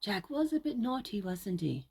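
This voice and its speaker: A woman reading aloud as a children's storyteller, theatrical and deliberate, speaking directly to young listeners. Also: mid-range voice, big pitch swings, a little mellow, husky. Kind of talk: expressive read-aloud storytelling.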